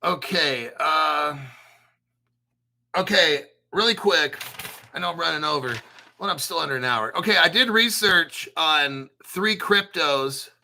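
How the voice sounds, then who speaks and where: A man's voice: fairly high pitch, expressive, unhurried, gravelly, sharp tone, a little hiss or hum. A man hosting a casual crypto show, talking bluntly and profanely, rambling with restarts. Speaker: crypto channel host. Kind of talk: casual livestream chat.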